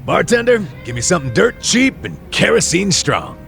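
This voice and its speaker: An actor playing a hearty, generous middle-aged man with a gravelly voice in a video game dub, talking casually and jovially. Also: high-pitched, sing-song, normal speed, fairly bright tone, soft background music, heavily acted. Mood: cheerful.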